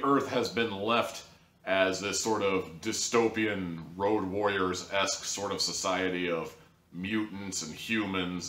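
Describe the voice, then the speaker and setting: Low, bright-voiced sarcastic male reviewer. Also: reverberant. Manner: sarcastic, rambling, with sudden jumps in pitch. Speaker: audio drama reviewer. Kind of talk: casual monologue review.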